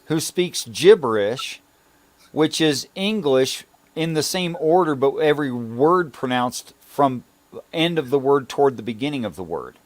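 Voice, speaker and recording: A man's voice: mid-range voice, expressive, a little slow, dry recording. A man in casual conversational debate as a participant in a talk show.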